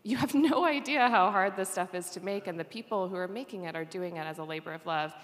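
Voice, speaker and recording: A woman with a slightly low voice talks casually as a panelist, slipping into imagined quotes to make her points. The hall adds a long reverb. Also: fast talker.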